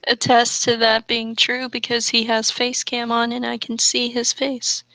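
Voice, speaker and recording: A woman's voice: a little high, monotone, a little slow, pushed and bright, no echo, low-quality recording. A woman co-hosting a comedic reading, bantering casually in a playful, giggly manner. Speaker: co-host of a comedic fanfiction reading. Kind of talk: casual banter and mocking read-aloud.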